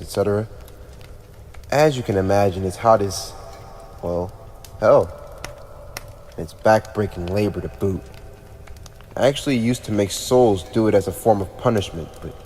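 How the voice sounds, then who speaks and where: A teenage demon prince of hell played by a man, smug and teasing with a playful menace, speaks in a lowish male voice. He addresses the listener in chatty yet mock-formal ASMR roleplay fashion. The sound rings with long reverb over clearly audible noise. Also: a little mellow, lightly acted. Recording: echoey reverberant room with clear background noise.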